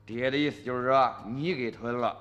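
An actor playing a brash, dismissive middle-aged farmer's son in a radio drama, blustering and scoffing in colloquial speech. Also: male voice, medium pitch, steady tone, brisk, a bit bright, dull audio, lightly acted. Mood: indignant.

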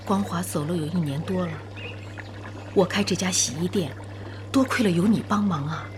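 A woman's voice: fairly low pitch, normal speed, noisy background, close to an everyday voice. An actress in a radio drama playing an earnest, emotional female laundry owner, polite but spirited. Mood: gentle, grateful. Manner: earnest, emotional, indignant when pressed.

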